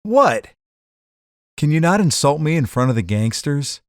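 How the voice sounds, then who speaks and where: A man acts out a hapless, dim husband in a hardboiled gangster radio comedy. His delivery is whiny and bewildered, with very lively pitch swings. The recording is dry and close. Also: a little slow, dry recording. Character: slow-witted, hapless, indignant husband caught among gangsters. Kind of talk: hardboiled comedic gangster audio drama acting.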